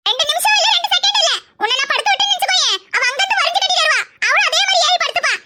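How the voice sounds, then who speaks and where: A woman voicing a scolding, sarcastic cartoon mother with a southern Tamil Nadu accent and a nagging, sharp-tongued manner. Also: very high voice, expressive, rapid-fire. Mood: exasperated, sarcastic. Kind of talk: cartoon character dialogue. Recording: very loud background noise.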